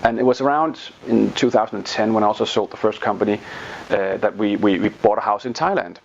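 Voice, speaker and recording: A man's voice: lowish voice, brisk, creaky, a little hiss or hum. A Danish man, a native Danish speaker using English with a Danish accent, telling his story as a guest in a casual interview. He repeats words and tags his sentences with "right?". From Denmark. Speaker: guest, online business teacher. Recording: dull lo-fi sound.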